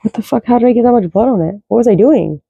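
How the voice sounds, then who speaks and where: Woman with a deep, dark voice and a dry, close sound, reading cards in loose, sweary stream-of-thought chat. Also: lively intonation, quick pace, creaky, breathy edge, no echo, lo-fi sound. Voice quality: low voice. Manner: loose, profane, thinks aloud. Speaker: card reader. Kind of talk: casual rambling spiritual chat.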